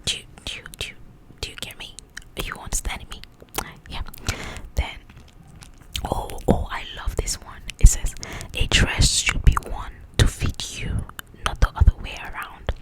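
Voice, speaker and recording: A woman speaking in a breathy, confiding whisper, chatting casually to the listener in an ASMR style. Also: normal speed, long pauses, rough recording. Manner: confiding, conversational, checks that the listener follows. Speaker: host of an ASMR book channel. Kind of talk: ASMR, whispered chat about book highlights.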